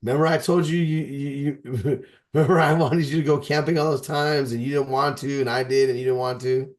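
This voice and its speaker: A man's voice: medium pitch, fairly even intonation, brisk. Rambling, emphatic man in his forties. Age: 40s. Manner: rambling, emphatic, with frequent restarts. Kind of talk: casual podcast chat.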